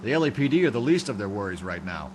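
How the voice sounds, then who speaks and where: An actor playing a thoughtful, matter-of-fact 1940s police detective in a film noir game. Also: male voice, on the low side, very animated, fast talker, very noisy. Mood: pensive.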